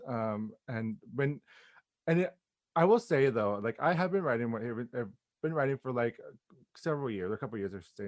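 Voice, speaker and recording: A man's voice: medium pitch, no room sound. A man chatting casually and effusively in an interview as a playwright and director, with informal slangy phrasing.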